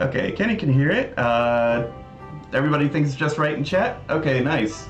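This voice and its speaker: A man's voice: on the high side, lively intonation, normal speed, dull audio. A man hosting a casual livestream, talking through a demonstration of a game project in an informal way. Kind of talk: casual livestream demo commentary. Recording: roomy echo, music behind. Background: game music.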